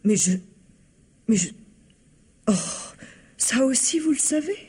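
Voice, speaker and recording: A woman's voice: sits high, big pitch swings, takes it slowly, darkish tone, husky, full character voice. A woman playing a flustered married society woman in a radio mystery, stammering and evasive under questioning. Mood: flustered, defensive. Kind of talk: vintage radio mystery acting.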